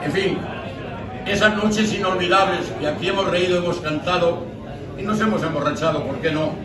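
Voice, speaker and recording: An older man from Spain speaks informally and from the heart, moved and a little choked up. His voice is rather high, heard from across a roomy restaurant with a loud crowd around him. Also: moderate pace, far from the mic. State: emotional, choked up. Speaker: speaker giving a tribute at a farewell dinner. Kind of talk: informal heartfelt tribute speech. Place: busy restaurant dining room, indoors. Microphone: distant camera across the room. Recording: echoey room from afar, loud crowd.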